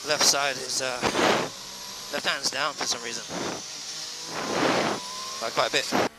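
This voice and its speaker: A male racing driver reporting to his team in halting phrases over in-car radio. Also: medium pitch, steady tone, very noisy. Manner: halting, repeats words.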